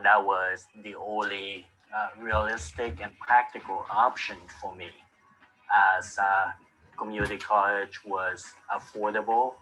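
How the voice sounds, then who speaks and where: A man from Vietnam speaking slowly and carefully in a recorded testimonial, with many "uh" pauses. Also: fairly low pitch, pushed and bright, rough recording. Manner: slow, careful, frequent uhs.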